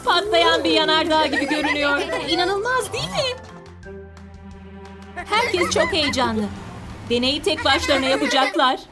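A woman with a high, lively voice narrates a children's cartoon. She tells the story expressively, with exclamations and questions, swinging widely in pitch over a music bed. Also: normal speed, long pauses. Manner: expressive, exclamatory, talks to the characters and asks questions.

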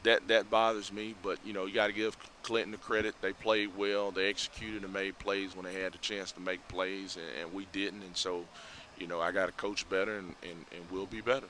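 A middle-aged man talks things over in a low, forceful voice, pausing often with fillers. He speaks into a headset mic outdoors at night, with faint outdoor sound behind him. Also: little pitch movement, slight background noise. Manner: measured, reflective, many fillers. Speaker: head football coach. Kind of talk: postgame interview. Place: outdoors by a school building at night.

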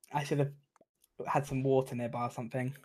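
A man's voice: mid-range voice, sharp tone. A man in his thirties with a Kent English accent, joking and laughing often while co-commentating a game stream from a home gaming setup. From England.

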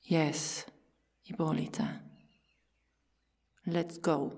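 A woman playing a stoic, stern Amazon warrior in an ASMR roleplay, hushed and curt, often whispering. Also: deep voice, little pitch movement, very slow, gravelly, husky, dry recording, full character voice. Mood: dutiful, reluctant. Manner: curt, formal, restrained.

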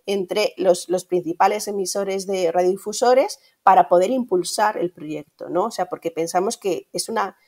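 A woman's voice: mid-range voice, moderate pace, few pauses, a little mellow. A middle-aged woman with a Castilian Spanish accent explaining things conversationally in an interview over a video call, often ending phrases with tag questions. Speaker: guest, radio industry manager. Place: indoor room at home, on a video call.

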